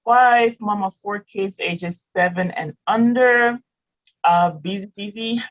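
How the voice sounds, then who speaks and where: A woman's voice: lowish voice, dull audio. A woman in her thirties, raised in Morocco with French as her first language, chatting as a guest, laughing often and restarting sentences. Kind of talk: casual interview chat.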